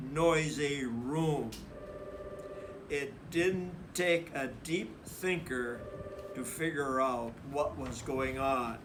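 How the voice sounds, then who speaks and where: A man reading a children's picture book aloud in an emphatic, deliberate storyteller's manner. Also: fairly high pitch, noisy background. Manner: emphatic, deliberate, acts out dialogue.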